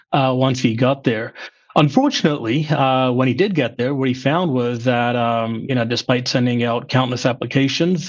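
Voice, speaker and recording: A middle-aged man from England who now talks with a slightly Midwestern American accent, giving measured explanations as an interview guest. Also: medium pitch, dull audio. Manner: measured, explanatory, frequent "uh" fillers. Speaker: guest, former finance chief. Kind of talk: podcast interview.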